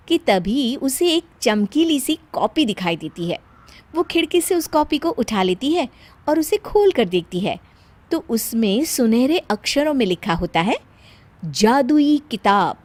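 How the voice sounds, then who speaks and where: A woman's voice: sits high, a little mellow. A woman narrator reading a scripted story for a cartoon.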